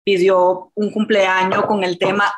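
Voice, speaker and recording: Brief: middle-aged woman, native French speaker, hesitant, mid-pitched, many pauses and fillers. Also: flat delivery. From France. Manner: halting, searching for words, many fillers. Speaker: group participant. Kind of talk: casual personal sharing.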